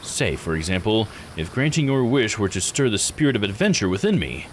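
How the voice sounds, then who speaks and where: Man voicing a low, swooping, theatrical adventurer. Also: sing-song, slight background noise. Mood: negotiating. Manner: measured, musing, grandly polite. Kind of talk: visual novel character read-aloud.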